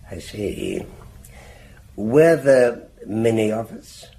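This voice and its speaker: Haughty, low-voiced wealthy businessman. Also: very animated, extremely slow, gravelly, dark tone, slight background noise, full character voice, low-quality recording. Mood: stiff, reticent. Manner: clipped, stiff, high-handed and evasive. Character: haughty, guarded, wealthy middle-aged provincial businessman. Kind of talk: BBC radio drama acting.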